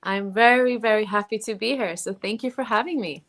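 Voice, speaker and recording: A woman from Norway, a guest on a talk show, speaking in a measured way about her life. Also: mid-range voice, a bit bright. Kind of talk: interview.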